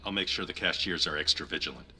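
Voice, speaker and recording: A man's voice: deep voice, fairly even intonation, fast talker, noisy background, natural voice. A man playing a loyal, deferential gang henchman in a video game cutscene. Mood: dutiful.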